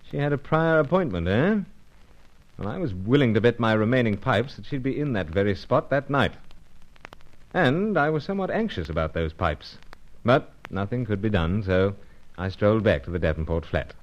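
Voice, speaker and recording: A British gentleman spy from a vintage radio serial. His voice is low and very expressive, with courteous, old-fashioned turns of phrase and a wry edge. The recording is dull and lo-fi. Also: normal speed. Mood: wry, narrating. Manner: urbane, polite, hesitant old-fashioned phrasing. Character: suave British male secret agent, gallant and wry.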